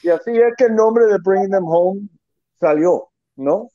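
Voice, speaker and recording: A man's voice: sits high, a little slow, dry recording. Middle-aged man, dry lo-fi guest line. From Quebec, Canada, raised in Miami. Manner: rambling, restarts, mixes in English words. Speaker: child rescue investigator, guest. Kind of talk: casual interview talk. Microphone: phone or call line. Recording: dull lo-fi sound.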